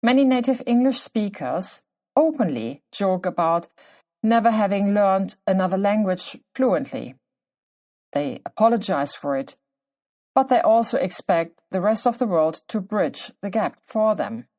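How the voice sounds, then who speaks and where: A woman's voice: mid-range voice, lively intonation, a little slow, warm tone. German-accented woman hosting a podcast. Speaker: podcast host. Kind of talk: scripted solo podcast narration.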